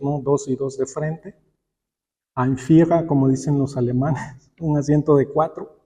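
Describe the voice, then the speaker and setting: A man's voice: mid-range voice, dark tone. A man preaching a sermon, putting questions to his listeners and acting out bits of dialogue as he goes. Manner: acts out imagined dialogues and quotes; rhetorical questions to the congregation. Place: church hall, preaching aloud to congregation. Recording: long echo of a large hall.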